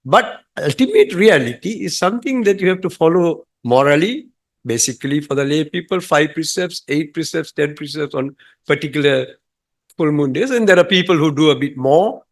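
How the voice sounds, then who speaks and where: A young adult man from Singapore, a monk, making an earnest, emphatic comment from the audience at a talk, halting with fillers. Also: on the high side, animated. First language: English. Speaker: monk asking a question from the audience.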